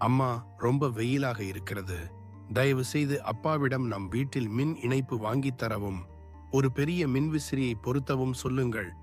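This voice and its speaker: A young village boy, voiced by a man complains in a whiny voice. The pitch sits mid-range for a man, and soft music runs beneath it. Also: moderate pace, lightly acted. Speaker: voice actor. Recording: music bed behind.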